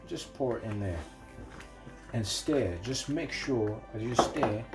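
A Zambian-accented man in his thirties walks viewers through a recipe in a small home kitchen. He sounds chatty and encouraging, peppering his steps with fillers and little "mm?" checks. Music plays clearly behind him. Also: mid-range voice, slow. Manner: chatty and encouraging, many fillers and questioning "mm?" tags. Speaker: cooking channel host. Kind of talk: home cooking demonstration.

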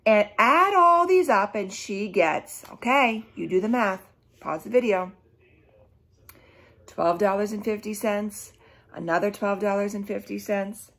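A middle-aged woman reading a children's book aloud, animated and emphatic, breaking off to question her young listeners. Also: medium pitch, slightly rough. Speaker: storybook reader for children. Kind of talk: children's read-aloud with asides. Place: small room at home, indoors.

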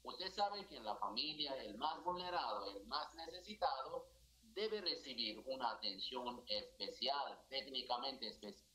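A middle-aged priest from India speaks with an Indian accent, earnest and halting, full of small fillers. His voice is bright and forceful. He talks over a video call from a small office. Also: medium pitch, lively intonation, poor audio. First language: not Spanish. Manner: earnest, gestures a lot, halting non-native phrasing with many fillers. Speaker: priest, foundation director. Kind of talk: live TV interview by video call. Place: indoor office with a religious statue.

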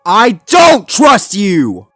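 Casting: nervous, loyal firefighter pup for a cartoon man acting it, raised voice pitch, pleading and hesitant, music bed. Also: animated, takes it slowly, darkish tone. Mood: defiant.

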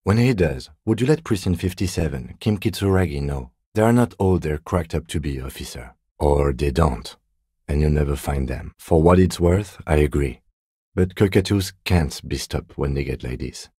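An actor playing a composed, courteous police lieutenant in a video game, with measured, dryly sardonic, deadpan delivery and a low, husky voice. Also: male voice, no room sound, clean studio sound. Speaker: game character, police detective. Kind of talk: video game dialogue voice lines.

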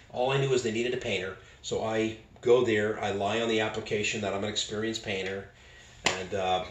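An older man from Boston, a street preacher, tells his conversion story with animated gestures in a small living room. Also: lowish voice. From Boston, United States. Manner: animated, acts out dialogue with hand gestures. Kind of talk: personal testimony storytelling. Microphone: camera a few feet away. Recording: roomy, distant and noisy home video.

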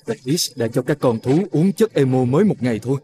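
Man playing fatherly cartoon dog, mid-pitched, quick, earnest, wise, explanatory. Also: lively intonation, mellow, lightly acted. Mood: explaining. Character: wise fatherly male dog mentor to young animals.

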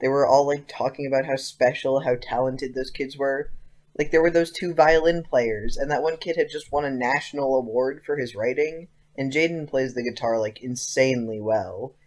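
An actor playing an earnest, persistent teenage schoolboy with casual, youthful phrasing in an audio drama. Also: male voice, mid-range voice, moderate pace, darkish tone, lightly acted, low-quality recording. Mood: earnest, insistent.

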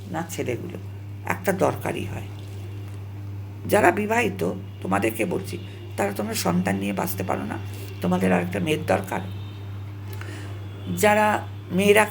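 A woman vlogger speaking straight to viewers in an opinionated, halting monologue, repeating herself and scolding with emphatic rhetorical questions. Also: mid-range voice, creaky, a little hiss or hum.

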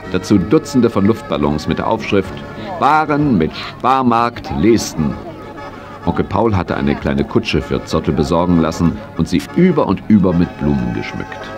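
A man's voice: deep voice, big pitch swings, moderate pace, music in the background. A man acting as the narrator of a children's radio play, telling the tale in scripted storytelling style. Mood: cheerful.

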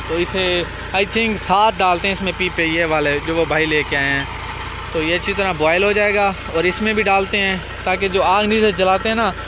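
A young man with a Pakistani Punjabi accent talks in a high, chatty voice, calling his viewers "guys" as he walks them around. He records on a phone held at arm's length outdoors on a farm. A tractor engine drones clearly in the background. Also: background noise. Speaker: vlogger. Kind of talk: casual walkthrough vlog.

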